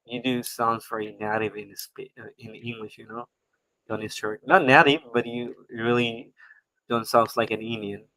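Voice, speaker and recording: Halting man hosting a live stream. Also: medium pitch, steady tone, sharp tone, rough recording. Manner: halting, repeats words, non-native grammar. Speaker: host. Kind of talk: casual live-stream chat.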